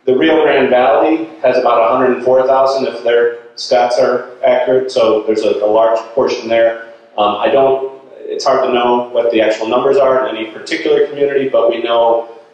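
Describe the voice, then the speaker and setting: A middle-aged man giving an informal slide presentation in an earnest, halting way, thinking aloud as he goes. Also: medium pitch, fairly even intonation, darkish tone, dull audio. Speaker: guest speaker, nonprofit director. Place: indoor meeting hall. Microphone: handheld microphone through room speakers. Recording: very echoey room, distant sound.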